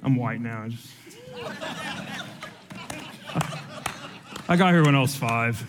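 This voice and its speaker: A man's voice: mid-range voice, wildly swooping pitch, extremely slow, in a large hall, a loud crowd, heavy background noise. A young man born in Cuba, with no accent, preaching a sermon full of personal testimony in an earnest, emotional and conversational way.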